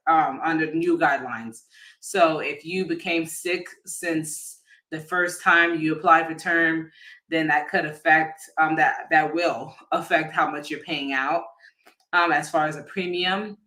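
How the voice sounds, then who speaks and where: A man working as an insurance agent, explaining policies casually on a livestream, often pausing with fillers and restarting his sentences. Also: fairly high pitch, bright, forceful tone. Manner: hesitant, many fillers and restarts; addresses viewers informally.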